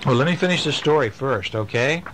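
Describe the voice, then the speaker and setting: Animated dad reading bedtime stories. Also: male voice, medium pitch, fairly quick, rough recording. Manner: gentle, animated storytelling with questions to the kids. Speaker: father reading to his children.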